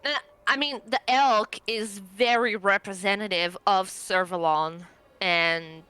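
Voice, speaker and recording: A woman chats through a tabletop roleplaying scene. Her voice is bright and smooth, and she takes her time, speaking casually as one of the players. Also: medium pitch, fairly even intonation, takes it slowly, no echo.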